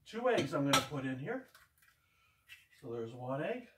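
A man's voice: mid-range voice, big pitch swings, unhurried, very noisy. Older man, rambling homespun storyteller, cooking at home, distant camera mic, roomy echo. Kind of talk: casual cooking demonstration. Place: home kitchen, indoors. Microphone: camera across the room. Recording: echoey kitchen, voice from far off.

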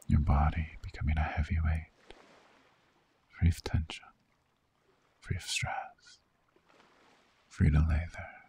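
A man playing a seductive, soothing vampire lover in an ASMR sleep roleplay, speaking in a hushed, coaxing, breathy whisper. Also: extremely low pitch, full character voice.

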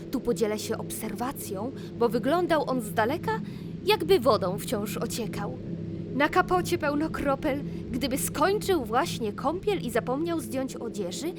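A woman narrating a rhymed children's fairy tale in an expressive, deliberate storybook manner. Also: a little high, noisy background. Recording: music behind the voice. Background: loud music.

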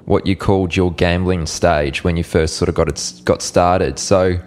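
A man's voice: low voice, fairly even intonation, normal speed. A man hosting a podcast, asking casual, eager interview questions. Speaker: podcast host.

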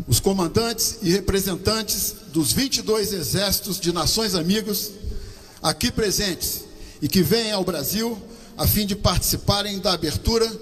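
Solemn military commander at a podium. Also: male voice, high-pitched, a bit bright, long reverb tail. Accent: Brazilian Portuguese. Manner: slow, solemn, declamatory. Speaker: army commander giving a ceremonial speech. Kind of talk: formal ceremonial speech. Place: outdoor parade-ground stand. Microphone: podium microphone.

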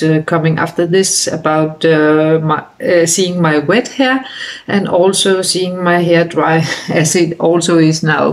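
A woman in her fifties from Denmark with a Danish accent, talking casually to camera in her bedroom, hesitant with many fillers and restarts. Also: fairly low pitch. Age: 50s. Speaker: vlogger. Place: bedroom indoors.

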